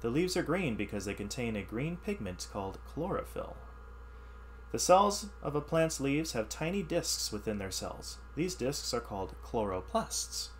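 A man reading a science ebook aloud as a teacher, pausing to explain the text in simpler words. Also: medium pitch, a little hiss or hum. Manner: reads text then explains in plain words. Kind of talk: read-aloud lesson with asides.

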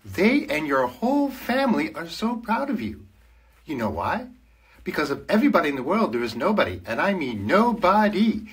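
An actor voicing a big, friendly, gentle bear from a children's show, playful and affectionate, speaking in an exaggerated sing-song way to a child. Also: mid-range voice, slightly rough. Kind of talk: children's show character giving a personal birthday greeting.

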